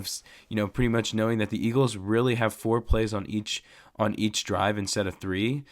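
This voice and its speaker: Stuffy-nosed man ranting about football. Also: lowish voice. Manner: fired-up, repeats himself for emphasis. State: stuffed up with a cold. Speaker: host. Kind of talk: casual sports opinion vlog.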